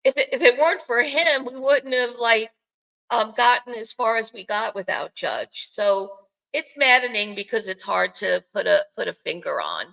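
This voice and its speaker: A woman's voice: fairly high pitch, animated, moderate pace, gravelly, a bit bright, poor audio. An older woman with a Bronx accent, co-hosting a sports podcast in casual, unscripted chat with wry, self-mocking asides. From the Bronx, New York, United States.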